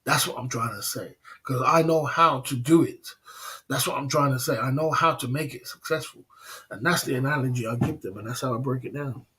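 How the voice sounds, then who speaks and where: A man's voice: medium pitch, fairly quick, sharp tone, poor audio. A middle-aged man from England, hosting a casual livestream and talking in a boastful, repetitive way. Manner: repetitive, boastful, acts out dialogues. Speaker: livestream host.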